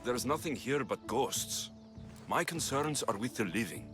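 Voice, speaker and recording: A man's voice: mid-range voice, lively intonation, a little slow, over music, lightly acted. Blunt, brooding Greek warrior, acted by a man. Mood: blunt, impatient. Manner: blunt, skeptical, impatient. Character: brooding, hot-tempered Greek mercenary warrior, fiercely family-driven. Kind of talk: dramatic video game acting.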